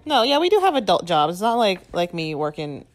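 A young woman co-hosting a casual chat podcast, chatty and quick to laugh, animated when retelling stories. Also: lowish voice. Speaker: podcast co-host.

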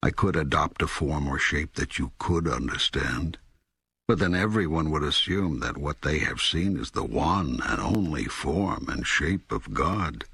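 An older man playing a patient, all-knowing God in an audiobook dialogue, speaking in a deliberate, emphatic, teaching manner. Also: rumbling low, gravelly, bright, forceful tone, breathy edge, no room sound, heavily acted.

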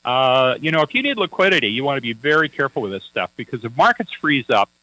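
A man's voice: mid-range voice, very animated, fast, pushed and bright, poor audio. A man working as a financial advisor, chatting on a radio talk show in a folksy, anecdotal way with many fillers and restarts.

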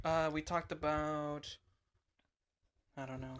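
A man's voice: on the high side, flat delivery, slow pace, background noise. A man co-hosting a podcast in casual chat, joking and bantering playfully with friends. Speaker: podcast co-host. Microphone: handheld or adjustable podcast mic, held close.

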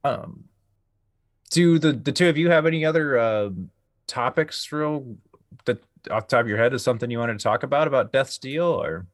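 A man's voice: mid-range voice, moderate pace, no room sound, studio quality. A man co-hosting a casual review podcast, speaking tentatively and hedging his opinions as he goes. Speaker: podcast host. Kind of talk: casual panel review chat.

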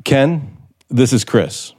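Embittered American radio scriptwriter, 1950s thriller; deliberate, slow, animated intonation, old broadcast sound. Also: male voice, mid-range voice, a little hiss or hum. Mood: pleading, restrained. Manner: theatrical, sardonic, deliberate. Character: bitter, menacing frustrated male radio scriptwriter plotting murder. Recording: vintage 1950s radio recording with music.

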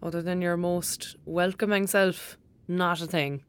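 A woman's voice: lowish voice, fairly even intonation, unhurried, clean tone, no room sound, close to an everyday voice. Woman playing a cheeky young Irish country girl. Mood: teasing. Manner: teasing, wry, colloquial. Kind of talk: audio drama acting.

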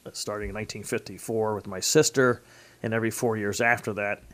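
A man's voice: on the low side, fairly even intonation, brisk, fairly bright tone. An American man in his fifties speaking conversationally as an interviewed state legislator, recounting personal and local stories. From Pennsylvania, United States.

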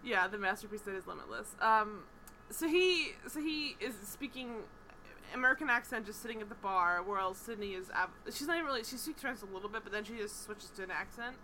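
Bright-voiced woman, animated and chatty. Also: medium pitch, brisk, rough recording. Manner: animated, rambling, laughs often, swears. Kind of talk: casual chat recapping a TV show.

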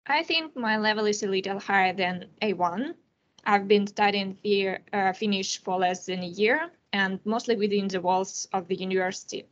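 A woman's voice: medium pitch, moderate pace. Teenage woman, Russian accent, native Russian speaker, careful hesitant answers, slightly muffled lo-fi sound. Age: teens. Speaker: international student and podcast guest.

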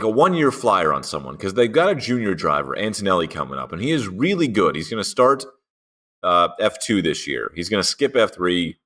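A man's voice: low voice, fairly bright tone. A man co-hosting a motorsport podcast, chatting casually and riffing playfully. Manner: playful, riffs with mock dialogue. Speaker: podcast co-host. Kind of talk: casual chat.

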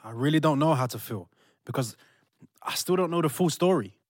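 A fairly high-voiced young British man played by an actor in an audio drama, a wounded fiancé struggling with anger and betrayal. The sound is dry and close. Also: lively intonation, a little fast, no echo. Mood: upset, conflicted. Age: young adult. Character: hurt, angry young fiancé torn by betrayal. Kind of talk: modern audio drama acting.

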